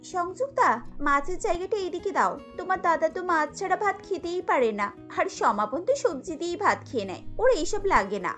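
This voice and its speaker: A woman's voice: very high voice, expressive, moderate pace, fairly bright tone, soft background music. A woman voicing a haughty, spiteful young sister-in-law in a cartoon, scornful and bossy. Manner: scornful, taunting.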